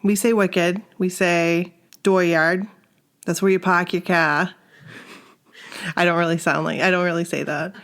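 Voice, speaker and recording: A woman's voice: lowish voice, steady tone, a little slow, long pauses, a bit bright. A woman with a Maine accent co-hosting a podcast in casual chat, nasal and congested from a sore throat, chatty and self-deprecating. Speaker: podcast co-host.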